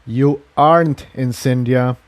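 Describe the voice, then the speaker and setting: A man's voice: medium pitch, steady tone, takes it slowly, lightly acted. A man voicing a weary, earnest engineer pony in a storybook reading, talking in a reflective, confiding way. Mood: reassuring.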